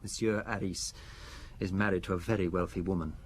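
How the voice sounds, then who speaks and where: An actor playing an anxious, fragile husband in an audio drama, halting and nervous yet obsessively insistent. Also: male voice, deep voice, steady tone, breathy edge. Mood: earnest, confiding. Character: anxious, fragile, obsessive husband fearing poison, quietly determined. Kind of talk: detective audio drama acting.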